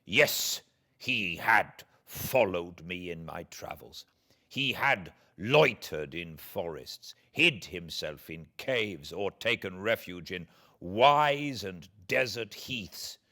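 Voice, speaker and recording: A British man reading classic literature aloud as a narrator, in a measured, theatrical, dramatic style. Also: low-pitched, lively intonation. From Britain. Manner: measured, theatrical delivery with hushed passages and swelling emphasis.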